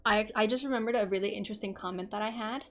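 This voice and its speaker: A woman's voice: medium pitch, rapid-fire, a little hiss or hum. A woman from the United States hosting a podcast, chatting casually and asking curious follow-up questions. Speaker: podcast host.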